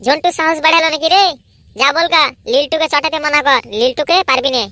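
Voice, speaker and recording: A woman voicing a defiant, gullible young village troublemaker in a comedy cartoon, indignant and squeaky. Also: fast, bright, forceful tone, no echo.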